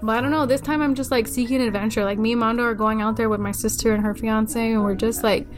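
A chatty young woman with a slightly raised pitch talks casually and swears freely. She keeps slipping into quoting herself mid-story. Music plays clearly beneath her voice. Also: steady tone, quick pace. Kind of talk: casual chat. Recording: music playing behind the talk.

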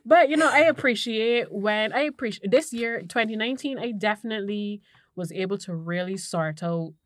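A woman's voice: mid-range voice, moderate pace, no echo. A woman of thirty co-hosting a podcast, talking in a reflective, rambling way. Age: 30s. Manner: reflective, loses her train of thought. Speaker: podcast co-host. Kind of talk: casual podcast chat.

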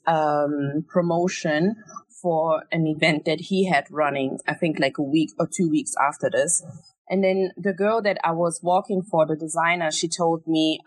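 Guest, female, twenties, lowish voice, dry close recording, easygoing storytelling. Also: no echo. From Germany. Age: 20s. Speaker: podcast guest. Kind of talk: casual conversation.

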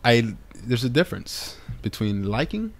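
A man's voice: mid-range voice, very animated, a little slow. A man hosting a casual podcast with his wife, joking and teasing with lots of fillers. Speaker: podcast host.

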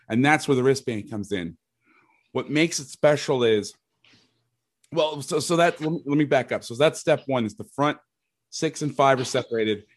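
A middle-aged man with a loud voice, a football coach explaining schemes in loose, rambling chat. His pitch sits at an ordinary middle for a man. The sound is close and dry, with no room around it. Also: dry recording. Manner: rambling, restarts sentences, occasional mild swearing. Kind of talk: casual podcast chat, explaining defensive schemes.

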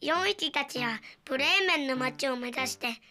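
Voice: sits high, expressive, moderate pace, sharp tone, faint music behind. A child narrating a scripted children's radio play.

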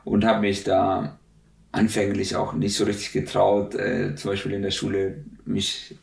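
A man's voice: low voice, completely flat delivery, unhurried, gravelly. A man in his thirties from Russia, answering interview questions in a hesitant way with many filler sounds. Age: 30s. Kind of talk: conversational interview. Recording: echoey room, distant mic.

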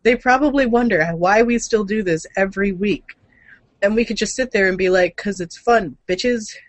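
A woman co-hosting a podcast in playful, slangy chat, her voice deepened from being sick. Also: moderate pace, no echo. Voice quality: deep for a woman. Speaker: podcast co-host. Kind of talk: casual chat.

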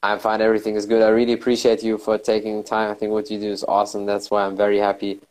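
A man's voice: lowish voice, monotone, fast, a little mellow. A man hosting a podcast interview, eager and full of compliments for his guest. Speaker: podcast host. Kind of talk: casual interview.

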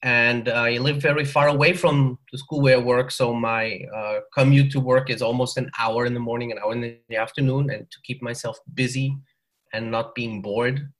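A man in his forties speaks English with a German accent, his voice sitting at a middle pitch. He is a podcast guest who explains things in a calm, thoughtful way and sometimes restarts his sentences. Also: moderate pace, low-quality recording. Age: 40s. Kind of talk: casual interview chat. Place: hot room at home.

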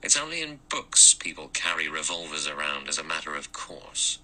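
A man voicing a gruff, suspicious former police inspector who talks in a sceptical, colloquial way. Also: very deep voice, very animated, moderate pace, sharp tone, heavily acted, poor audio.

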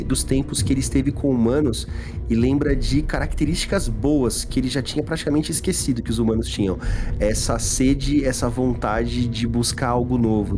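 A Brazilian man playing a tabletop role-playing game, improvising narration in a halting way and repeating phrases. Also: medium pitch.

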